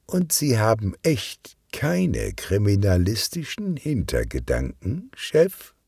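An eager, cheeky woman detective's assistant , played by a male reader from a children's audiobook. The pitch is lifted and leaps about, with a slightly husky edge. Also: huge pitch swings, slow pace, darkish tone, no echo, studio quality, full character voice. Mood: keen, curious. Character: bright, eager, slightly suspicious young female police assistant. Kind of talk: children's audiobook character reading.

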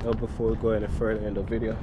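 A man's voice: on the low side, racing. A young man, a truck driver, chatting casually to his viewers while walking around his truck outdoors, filmed on a handheld action camera. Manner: relaxed, chatty, addresses viewers as guys. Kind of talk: casual walkaround vlog talk to viewers. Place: outdoors beside a truck trailer in an open lot. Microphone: action camera held at arm's length. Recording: outdoor wind and traffic noise.

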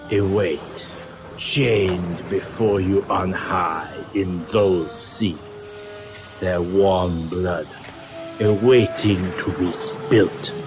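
A man playing a pompous, theatrical vampire master leading a dark ritual in an audio drama. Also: low voice. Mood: solemn, menacing. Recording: music under the voice.

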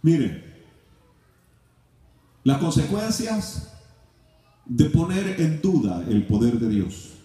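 A middle-aged man preaching a sermon, shifting between shouted passages and hushed, low stretches. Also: sing-song, very slow, long pauses. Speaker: pastor. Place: large church hall. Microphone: handheld mic, filmed from far off. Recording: echoey hall from far off.

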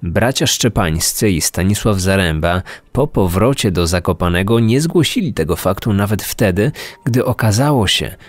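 A man hosting a true-crime podcast with serious, deliberate scripted narration. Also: lowish voice, no echo. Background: faint music.

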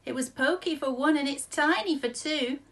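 A woman voicing a flustered, grumbling little old lady from a storybook, pleading and exclaiming with drawn-out words. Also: high-pitched, a bit bright, pitched above the performer's own voice. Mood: distressed.

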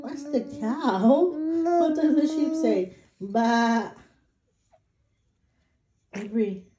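A woman slowly coaxing a baby with animal sounds and finger games, indoors at home. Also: fairly high pitch, animated, noisy background. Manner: slow, coaxing, sing-song baby talk. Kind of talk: talking to a baby.

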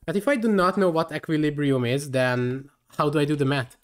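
A man's voice: fairly high pitch, brisk. A young man from Hungary with a Hungarian accent, streaming a game live and chatting in a rambling way with lots of fillers, tired late in the session. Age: young adult.

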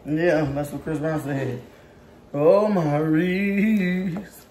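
A woman's voice: low-pitched, drawn-out words, dark tone. A young woman hosting a casual home cooking vlog, chatting and teasing as she talks straight to her viewers. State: tired arm while mixing. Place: home kitchen. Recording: echoey kitchen with background noise.

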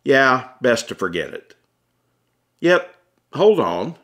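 A man's voice: mid-range voice, big pitch swings, slow pace, fairly bright tone. An American man reading his own memoir as an audiobook, in a wry, conversational storytelling manner. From Atlanta, United States. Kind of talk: scripted first-person narration, conversational memoir.

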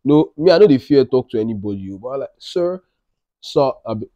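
A man's voice: mid-range voice, fast, no room sound, muffled recording. A young man with a Nigerian accent chatting casually to camera as a travel vlogger, telling stories with Pidgin slang and quoting himself. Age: young adult. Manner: animated storytelling with Pidgin slang and self-quoting. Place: living room, indoors.

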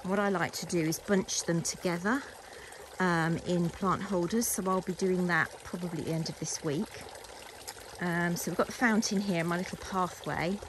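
A woman's voice: on the low side, steady tone, moderate pace, background noise. A woman with an English accent giving a chatty, casual commentary as she shows viewers around, recorded outdoors on a handheld device. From England. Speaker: gardening vlogger. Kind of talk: casual garden tour commentary. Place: outdoors walking around a garden. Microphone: handheld phone or camera behind the lens.

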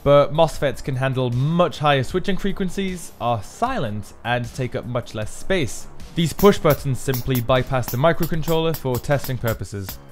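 Man narrating a how-to over music. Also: medium pitch. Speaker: maker presenting a build.

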